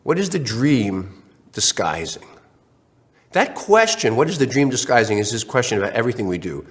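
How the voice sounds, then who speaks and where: A man's voice: mid-range voice, moderate pace. A man lecturing online, slightly congested from a cold, with many restarts and fillers. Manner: animated, acts out questions in other voices.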